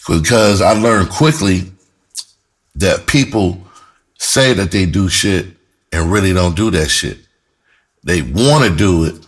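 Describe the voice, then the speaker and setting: A middle-aged American man with a husky voice tells his own story casually, speaking bluntly in emphatic, profanity-laced street slang. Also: deep voice. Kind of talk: casual first-person storytelling to camera.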